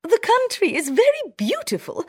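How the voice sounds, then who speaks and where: A woman voicing an excitable, squeaky female town mouse in a storybook reading. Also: moderate pace, full character voice. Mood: excited. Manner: shrill, urgent squeals.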